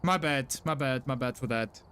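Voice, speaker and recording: A man's voice: mid-range voice, moderate pace, fairly bright tone. A young man from South Africa with an Afrikaans English accent, chatting casually with his live-stream audience and repeating himself with lots of "like" fillers. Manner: chatty, apologetic, frequent self-repeats and "like" fillers. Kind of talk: casual live-stream chat reading chat messages.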